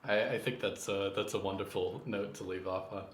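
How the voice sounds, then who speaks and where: Echoey, low-pitched man hosting a podcast. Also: steady tone, moderate pace. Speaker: podcast host. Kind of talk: interview hosting with scripted storytelling narration. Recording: echoey room, faint background noise.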